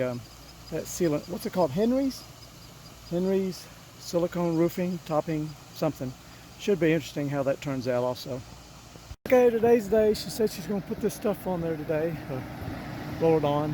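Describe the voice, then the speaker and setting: Guy on a roof, kinda high voice, rough from a cold, rambly and folksy. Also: noisy background. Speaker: DIY vlogger. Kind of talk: casual narration while working. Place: outdoors on an RV roof.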